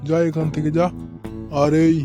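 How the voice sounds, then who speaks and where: A man's voice: a little high, monotone, normal speed, highs cut off, music in the background, lightly acted. A man playing an always hungry, bullying man-eating ogre in a cartoon, boastful, bossy and threatening. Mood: disgusted. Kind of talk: cartoon character acting.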